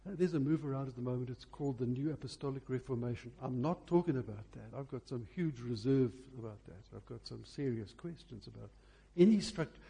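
A man's voice: mid-range voice, a little fast, creaky, few pauses, warm tone, slightly rough. A man preaching a sermon, phrasing emphatically and repeating key words for effect.

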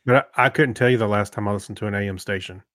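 A man's voice: lowish voice, very fast, a bit bright, no echo. Casual male co-host, dry close recording. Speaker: podcast co-host. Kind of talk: casual chat, short reactions.

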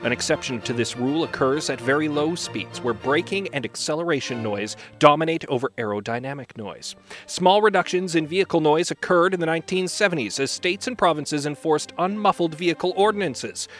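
Male announcer, slightly high voice, formal scripted read with faint music underneath. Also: a bit bright.

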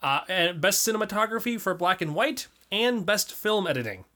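A woman hosting a casual podcast, laughing often and briskly reading award lists. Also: mid-range voice, animated, sharp tone. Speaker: podcast host.

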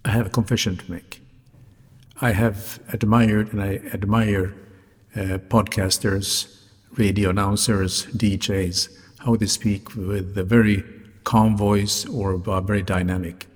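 A man hosting a solo podcast speaks in a low, husky, slightly raspy voice as a non-native English speaker. His delivery is deliberate and carefully articulated. Long reverb surrounds the voice. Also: level tone. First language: not English. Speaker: podcast host, hotelier. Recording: reverberant hall-like sound, music behind.

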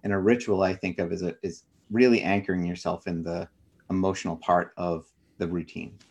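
A man's voice: low voice, fairly even intonation, brisk, darkish tone, slightly rough. A middle-aged man speaking as an interview guest, explaining his thinking in a reflective, conversational way. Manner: reflective, explains through personal examples.

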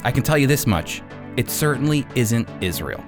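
A man's voice: medium pitch, very animated, moderate pace, over loud music. A man hosting a radio show and teaching the Bible, emphatic and repeating key points for stress.

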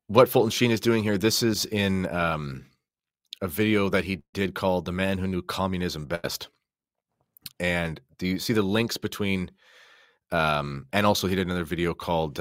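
A man giving casual commentary as a podcast guest, halting now and then as he thinks aloud. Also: low voice, fairly bright tone, no room sound, studio quality.

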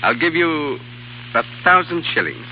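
Shrewd dealer, lively old-radio delivery. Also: male voice, on the high side, unhurried. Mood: covetous. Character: shrewd, genteel male art dealer, coolly bargaining. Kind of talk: 1930s radio acting. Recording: dull 1930s radio with hiss.

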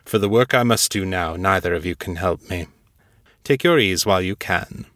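Man voicing a low, commanding fantasy lord. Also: normal speed, fairly bright tone, no room sound, clean studio sound. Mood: authoritative. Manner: curt, formal orders.

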